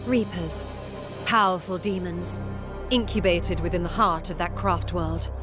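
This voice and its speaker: An actress playing a zealous, self-assured inquisitor in a sci-fi game, lofty and theatrical, speaking deliberately. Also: female voice, lowish voice, fairly even intonation, over music, lightly acted. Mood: ominous, proud.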